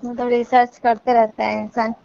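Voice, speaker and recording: A woman's voice: a little high, flat delivery, normal speed, darkish tone. A woman with a Bihari accent in a casual phone chat, speaking in short, questioning replies. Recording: dull lo-fi call recording, clear noise.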